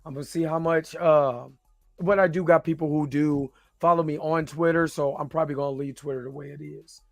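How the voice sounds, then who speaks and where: Male show host, slightly hoarse, casual and rambling livestream delivery, close dry sound with faint music behind. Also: fairly high pitch, steady tone, fairly quick, no room sound. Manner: informal, rambling, hesitant.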